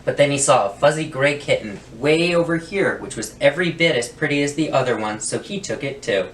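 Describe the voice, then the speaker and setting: Male picture-book reader, expressive storyteller for children, roomy sound a little off the mic. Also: medium pitch, fairly quick. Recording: roomy, distant mic, faint noise.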